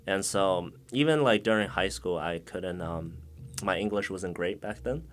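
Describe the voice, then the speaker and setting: A young man from China, guest on a podcast interview, answering in a relaxed, casual way with lots of fillers. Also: deep voice, normal speed. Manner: relaxed, many fillers, laughs often.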